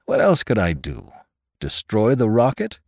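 A man's voice: on the low side, huge pitch swings, measured pace, creaky, darkish tone, breathy edge, no room sound, studio quality, voice pushed up, heavily acted. A man voicing a tired, embattled man who answers abruptly in an audiobook story. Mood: weary, defensive. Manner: weary, abrupt. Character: tired, embattled man arguing with his friend.

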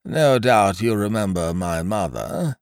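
A man voicing a despondent male professor who speaks slowly and mournfully. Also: on the low side, animated, vocal fry, dry recording, clean studio sound. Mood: gloomy. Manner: slow, groaning, despondent.

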